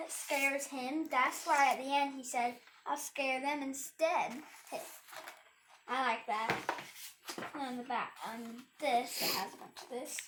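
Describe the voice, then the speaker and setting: A woman's voice: high-pitched, noisy background. A young girl reading a rhyming storybook aloud and chatting casually, stumbling over and repeating words. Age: child.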